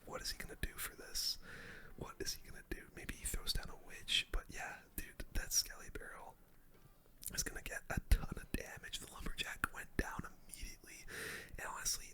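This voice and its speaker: A person whispering casual ASMR gameplay commentary, breathy and unvoiced, full of gamer slang while talking through each move. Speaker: game streamer.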